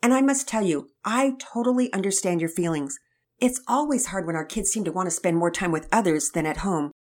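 A woman voicing a gentle, kindly mother who chats and reassures a friend. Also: on the low side, expressive, brisk. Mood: reassuring. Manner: sweet, reassuring. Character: gentle, kindly church mother, Mama Peters.

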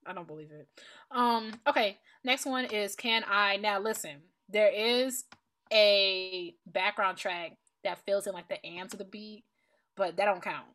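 Woman, podcast co-host, bright forceful voice, medium pitch, playful, colloquial, casual music chat. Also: measured pace.

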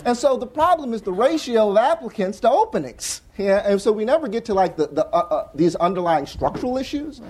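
A young adult man on a conference panel, talking earnestly and fast with frequent restarts and "right?" tags. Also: high-pitched, sing-song, a little mellow, a little hiss or hum. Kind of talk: panel discussion answer. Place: conference hall with audience.

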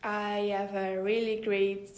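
A woman's voice: mid-range voice, level tone, slow pace, darkish tone. A teenage female student from Italy with an Italian accent, a native Italian speaker chatting in an interview and searching for words in English. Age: teenager, high school student. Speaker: podcast guest, exchange student. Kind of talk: casual interview chat. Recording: roomy echo on the voice.

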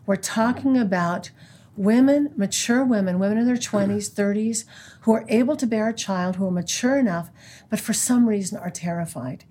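American lady in her 60s, warm kinda breathy voice, earnest guest talk. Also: medium pitch, moderate pace. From United States. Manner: earnest, quotes scripture. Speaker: guest, pro-life organization leader. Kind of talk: conversational interview.

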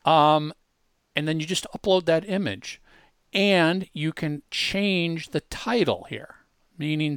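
Man giving a clear, casual tutorial. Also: fairly high pitch, no echo, clean studio sound. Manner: informal, explanatory, addresses listeners directly. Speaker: host demonstrating software.

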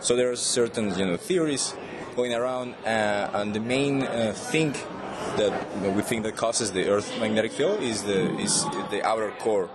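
A man's voice: lowish voice, moderate pace, a loud crowd. Venezuelan-accented man explaining earnestly. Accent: Venezuelan Spanish. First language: Spanish. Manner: hesitant, many restarts and fillers. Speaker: PhD student guest. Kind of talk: casual interview explanation.

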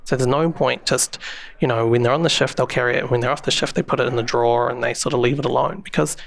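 Kiwi guy chatting in an interview, explains stuff with lots of ums, middle pitch. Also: fairly even intonation, fast talker, gravelly. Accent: New Zealand. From New Zealand. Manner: explanatory, frequent fillers and restarts. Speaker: interview guest, public safety software expert. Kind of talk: conversational interview answers.

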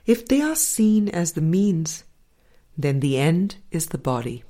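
A low-voiced woman reads a spiritual audiobook at an unhurried pace. Her phrasing is deliberate and measured, with frequent pauses between short phrases. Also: big pitch swings, dark tone. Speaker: audiobook reader. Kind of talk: scripted spiritual audiobook narration.

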